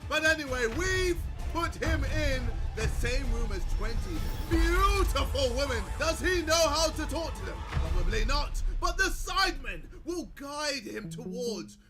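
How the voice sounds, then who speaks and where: A man announcing a show opening in a booming, shouty hype delivery. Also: sing-song, a little slow, few pauses. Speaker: video announcer. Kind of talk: hyped show introduction. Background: music.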